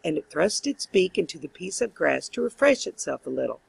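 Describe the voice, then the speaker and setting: A woman reading a fairy tale aloud as an audiobook, in expressive, measured storytelling. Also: lowish voice, gravelly, slightly rough, no echo. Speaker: audiobook reader.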